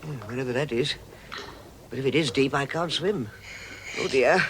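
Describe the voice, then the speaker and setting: An actor playing a timid, genteel hobbit in a vintage radio drama, fussy and flustered. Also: male voice, mid-range voice, moderate pace, background noise, natural voice. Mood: startled, dismayed. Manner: fussy, flustered, stammering, exclaiming. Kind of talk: vintage radio drama acting, first-person recollection.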